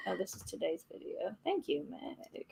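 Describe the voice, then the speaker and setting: Raspy young woman with a gravelly edge. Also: mid-range voice, big pitch swings, normal speed, a little mellow. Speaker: co-host. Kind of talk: casual live chat.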